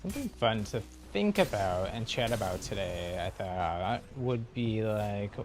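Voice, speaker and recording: A man's voice: fairly low pitch, animated, measured pace, faint music behind. A man chatting casually and easygoing as he comments live on the video game he is playing. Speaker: game livestreamer.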